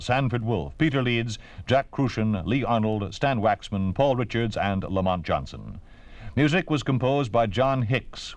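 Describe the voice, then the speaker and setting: A man's voice: fairly low pitch, dry recording. An American man reading a formal, solemn script as a 1950s radio announcer. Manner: solemn, declarative. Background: music.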